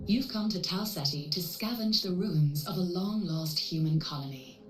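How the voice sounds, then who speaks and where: Woman playing Oni, a composed low-voiced AI guide. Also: distant mic, close to an everyday voice. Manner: measured, formal, reassuring. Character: composed female AI navigator guiding a mercenary. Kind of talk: scripted game briefing.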